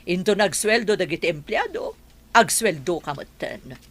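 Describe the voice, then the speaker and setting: A woman's voice: lowish voice, very animated, normal speed, fairly bright tone, slightly rough, full character voice. A woman voicing a wealthy businesswoman mother of a grown son in a dramatised story. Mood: questioning, consenting.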